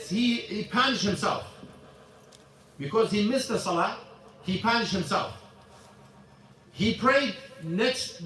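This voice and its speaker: A man's voice: high-pitched, fairly bright tone. A man teaching a casual religious class in a mosque, in broken English, often repeating himself. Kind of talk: informal religious lesson. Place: mosque hall. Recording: echoey mosque hall, mic from far off.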